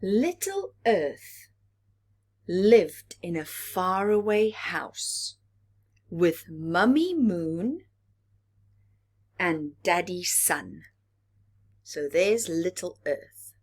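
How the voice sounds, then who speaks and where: A woman reading a story aloud to young children as a storyteller, expressively, pausing to explain and ask questions. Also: on the low side. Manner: expressive, asks the listeners questions.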